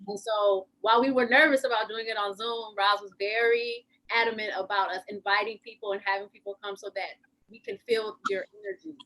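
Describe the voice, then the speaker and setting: A woman's voice: medium pitch, fairly quick, fairly bright tone, poor audio. A woman actor talking informally and reflectively in a question and answer session on a video call. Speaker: actor in an acting class.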